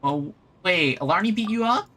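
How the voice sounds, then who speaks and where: Bright-voiced streamer muttering through a game. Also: mid-range voice, animated, normal speed, a little hiss or hum. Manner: reactive, muttering to self. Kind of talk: live gameplay commentary, reading dialogue aloud.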